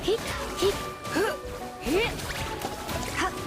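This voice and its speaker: A woman's voice: extremely high pitch, animated, extremely slow, loud music behind the voice, full character voice. A woman voicing a poised young swordswoman in an anime-style game, giving short, confident battle cries. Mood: confident, fighting.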